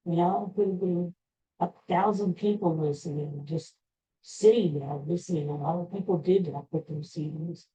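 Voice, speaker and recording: An older man with an American accent tells personal stories casually, in a halting, rambling, self-deprecating way. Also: high-pitched, dark tone, slight background noise. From Pennsylvania, USA. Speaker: podcast host. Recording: dull, muffled lo-fi audio.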